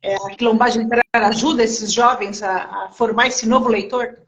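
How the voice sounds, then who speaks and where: A woman's voice: on the high side, steady tone, brisk, highs cut off. A woman hosting a casual live-stream interview, chatty and full of tag questions. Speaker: interview host. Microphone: remote call over a live stream.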